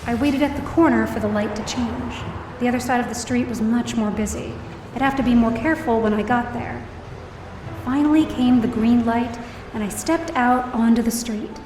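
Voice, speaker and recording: A woman's voice: fairly high pitch, moderate pace, background noise, lightly acted. An actress playing an anxious young woman who narrates her own story in an audio drama, confiding and self-deprecating. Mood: narrating, tense. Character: anxious, downcast young woman, daughter of a hospitalized mother. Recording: roomy, distant-sounding voice over music.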